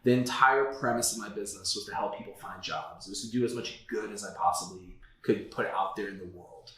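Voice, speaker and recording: A man's voice: lowish voice, a little fast, fairly bright tone. A man in his thirties from South Florida, a guest telling his career story in a casual interview, candid and full of "like" and "uh". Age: 30s. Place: office. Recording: echoey room.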